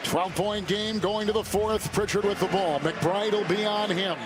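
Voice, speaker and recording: High-voiced man calling basketball over loud music. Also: steady tone, moderate pace. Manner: clipped, factual phrases. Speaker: basketball play-by-play announcer. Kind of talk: live game commentary.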